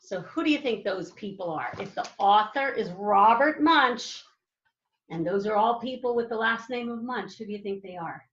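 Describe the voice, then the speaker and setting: A woman reading a children's storybook aloud like a teacher, with drawn-out, dramatic emphasis and asides to her young listeners. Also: medium pitch, expressive, fairly bright tone, echoey room, dull audio. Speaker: elementary school teacher reading aloud to pupils.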